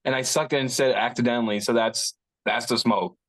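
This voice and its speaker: A man's voice: medium pitch, fairly even intonation, fairly quick, a bit bright, dry recording, close to an everyday voice. Man doing a rambling, laid-back stoner gunman. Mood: evasive, goofy. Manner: rambling, joking, breaks into chuckles. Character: laid-back, cocky stoner gunman, goofy excuse-maker. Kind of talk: casual table read of a script.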